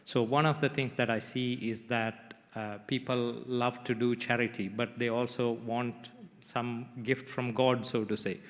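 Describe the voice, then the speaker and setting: Sri lankan-born guy moderating a panel, flat voice, keeps saying you know, echoey room. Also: mid-range voice. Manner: measured, with frequent "you know" fillers. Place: conference hall.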